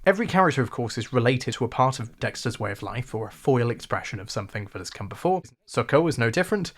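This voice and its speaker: Male, RP English, wry sarcastic video essayist, medium pitch. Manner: wry, sarcastic, animated delivery. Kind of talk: scripted video essay narration.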